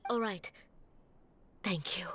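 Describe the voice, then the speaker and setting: A woman's voice: medium pitch, slow pace, bright, forceful tone, slight background noise. A woman playing a worried, polite village mother in a video game. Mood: anxious, pleading.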